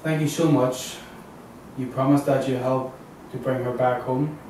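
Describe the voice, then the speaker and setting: A man's voice: mid-range voice, steady tone, unhurried, a little mellow, close to an everyday voice. A man playing a worried client searching for his missing partner, in a crime thriller table read. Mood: pleading. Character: Paul Watts, worried well-groomed man seeking missing partner. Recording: very roomy, distant mic, faint noise.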